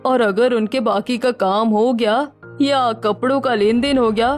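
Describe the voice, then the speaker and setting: A woman's voice: a little high, fairly even intonation, measured pace, faint music behind, lightly acted. A woman voicing a cynical married friend, lecturing a bride emphatically in a scripted family drama. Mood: cautioning.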